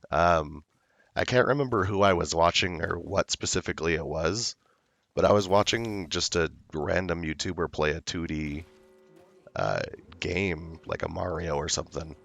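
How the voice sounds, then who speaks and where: A young man streaming a game, with a hoarse voice from illness, chatting slowly and loosely. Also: sits low, fairly bright tone, no echo. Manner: slow, rambling, laid-back talk. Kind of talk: casual livestream chat.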